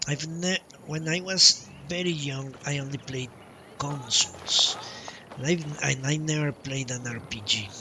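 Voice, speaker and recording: A man doing casual live commentary as a game streamer, rambling in halting, broken-up phrases. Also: medium pitch, pushed and bright, slight background noise, poor audio. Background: game music.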